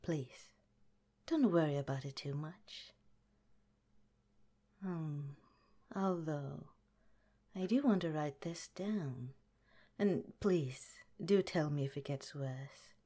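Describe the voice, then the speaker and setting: Lady doing a gentle whispery lab director, slow and mellow, kind and calm. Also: sits low, full character voice. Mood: gentle, reassuring. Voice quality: soft whispery delivery. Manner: gentle, polite, reassuring. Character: calm caring woman scientist director. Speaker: ASMR roleplay performer. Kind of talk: ASMR audio roleplay.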